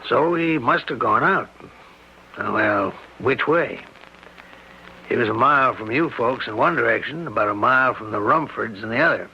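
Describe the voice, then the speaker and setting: An actor playing a folksy, plain-spoken small-town sheriff who quietly probes, in a radio mystery drama. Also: male voice, mid-range voice, expressive, close to an everyday voice. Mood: casually probing. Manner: plain-spoken, rural phrasing, deliberate. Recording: dull lo-fi radio recording with hiss.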